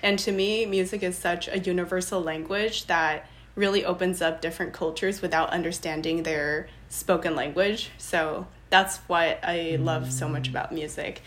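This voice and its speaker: A woman's voice: fairly low pitch, steady tone, a bit bright. A young adult woman from Los Angeles, a podcast guest chatting casually and laughing often. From Los Angeles, USA. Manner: bubbly, laughs often, quick restarts. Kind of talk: casual interview chat.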